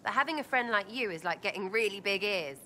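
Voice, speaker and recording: A cheeky young female elf from a video game with a bright, forceful voice. Her British accent is slangy and irreverent. There is faint ambience behind her. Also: medium pitch, lively intonation, fast, slight background noise. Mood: playful. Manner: breezy, slangy, impish. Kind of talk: video game dialogue acting.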